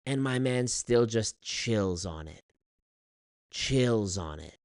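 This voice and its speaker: A man telling a story on his livestream in an animated, exasperated way, swearing often and reading chat. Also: lowish voice, slow, dry recording, clean studio sound. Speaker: streamer. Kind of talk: casual livestream rant.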